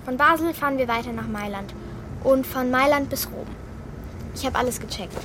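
Woman as bold bossy young girl in a kids radio drama, high voice, no-nonsense, bit of background noise. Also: moderate pace, lightly acted. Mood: confident, bossy. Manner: blunt, matter-of-fact, self-assured. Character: bold, cheeky, resourceful young girl Martha. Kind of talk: children's radio play acting.

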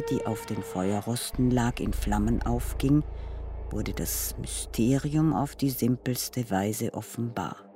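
A man recites literary prose dramatically for a radio sound piece, in deliberate, weighted fragments. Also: medium pitch, darkish tone. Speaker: reader in a radio sound composition. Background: music.

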